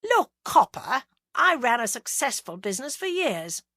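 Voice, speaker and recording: Brisk, defensive middle-aged businesswoman, read by a woman narrator; bright, dry, animated. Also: high-pitched, no echo, voice pushed up, full character voice. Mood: sharp. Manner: sharp, demanding, defensive.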